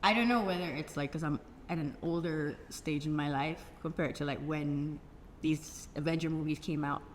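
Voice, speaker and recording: A middle-aged woman, reflective and measured as she speaks in a casual cast interview. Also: sits low, steady tone. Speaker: stage play cast member. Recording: reverberant hall, faint background noise.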